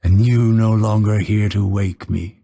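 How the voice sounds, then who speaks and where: An actor playing a proud, cold, vengeful assassin in a video game, with a husky voice and measured, haughty, menacing delivery. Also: male voice, on the low side, steady tone, warm tone. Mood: grim sorrow. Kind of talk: scripted game voice lines, theatrical delivery.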